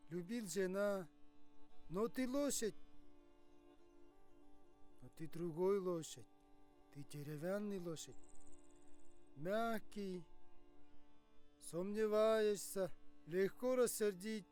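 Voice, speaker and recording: Slow, high-voiced Tuvan herder. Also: male voice, darkish tone. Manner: slow, sparse, broken-grammar phrases. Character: calm Tuvan herder, a captive sharing folk wisdom. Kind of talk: naturalistic film acting.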